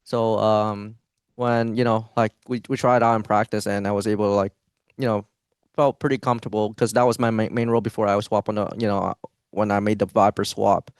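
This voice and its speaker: Young man, esports player, post-match interview, hesitant, many restarts, smooth low voice. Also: level tone, a little fast, no echo, studio quality.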